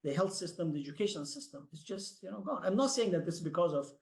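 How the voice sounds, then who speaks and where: A man with a notably high voice talks as a panelist. His delivery is spontaneous and halting, circling back over phrases as he thinks. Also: expressive, gravelly, darkish tone. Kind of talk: spontaneous discussion.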